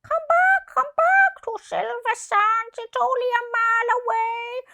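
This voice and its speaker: Shrill, urgent little seagull. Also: female voice, unhurried, bright, forceful tone, full character voice. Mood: urgent, coaxing. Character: frantic seagulls crying out a warning. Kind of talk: children's picture book character voice.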